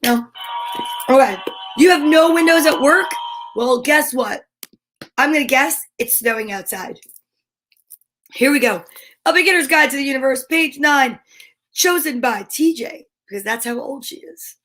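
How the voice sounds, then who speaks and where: A woman hosting an online story time, speaking in a playful, expressive way as if chatting casually with viewers. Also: high-pitched, measured pace, pauses often, soft background music. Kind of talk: casual livestream chat with short read-aloud passages.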